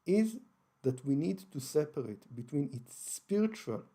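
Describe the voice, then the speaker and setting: A man's voice: on the high side, big pitch swings, measured pace, mellow, husky. A man with an Israeli accent, hosting his channel and explaining his views on religion carefully, with frequent hesitations and restarts. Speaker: channel host. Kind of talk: unscripted explanatory talk.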